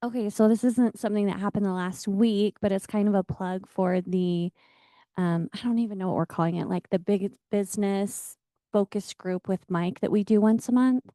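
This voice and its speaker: Brief: woman, enthusiastic and rambling, mellow voice, dry close sound. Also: medium pitch, steady tone, moderate pace, dry recording. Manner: rambling with frequent "like" fillers, enthusiastic. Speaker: team member sharing. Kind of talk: casual sharing at a meeting.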